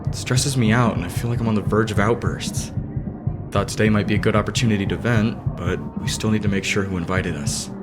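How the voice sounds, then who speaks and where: A man's voice: lowish voice, brisk, lightly acted. A man acting a serious, proud, hot-headed teenage boy idol in an anime fan dub, with earnest, formal phrasing. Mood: frustrated. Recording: loud music under the voice.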